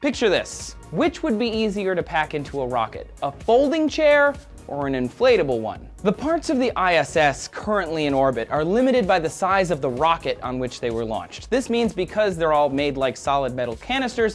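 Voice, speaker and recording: A woman hosting a scripted space news explainer in a conversational, emphatic way. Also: low voice. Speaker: host of a weekly space news show. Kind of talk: upbeat scripted web explainer. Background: faint music.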